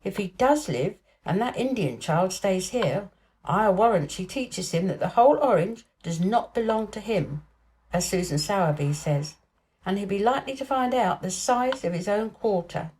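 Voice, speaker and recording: A woman's voice: medium pitch, lively intonation, moderate pace, darkish tone, slight background noise, natural voice, poor audio. Woman as a grumbling, no-nonsense housekeeper. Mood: exasperated, knowing.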